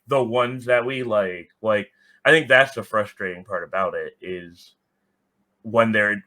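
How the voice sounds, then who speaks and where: A man's voice: fairly low pitch, sharp tone. A man chatting casually and bluntly as a podcast guest, peppering his talk with "like" and the odd swear word. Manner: blunt, swearing, heavy use of "like". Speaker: podcast guest, soccer supporters group organizer. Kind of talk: casual, candid podcast conversation.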